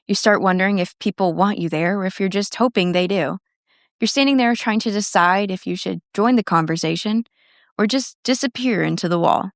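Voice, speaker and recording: A teenage girl, co-hosting a podcast in casual, chatty and loud conversation. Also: medium pitch, a little fast, no echo, studio quality. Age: teens. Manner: chatty and loud, laughs between stories. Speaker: podcast co-host. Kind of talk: casual chat.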